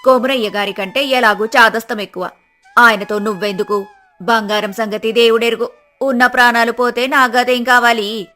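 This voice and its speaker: Woman acting scolding village wife, high voice. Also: fairly even intonation, normal speed, bright, forceful tone, faint music behind. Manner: scolding, exasperated. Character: sharp-tongued worried village wife scolding her husband. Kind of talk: dramatized moral story.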